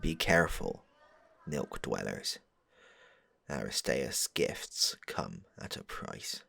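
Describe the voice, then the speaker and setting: A man voicing a solemn, cryptic ritual leader in a comic fantasy audio play, slow, grave and pompous, with a low gravelly, breathy voice. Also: animated, sharp tone, no echo, full character voice. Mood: warning. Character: solemn, cryptic doom-saying leader of a milk-fearing ritual.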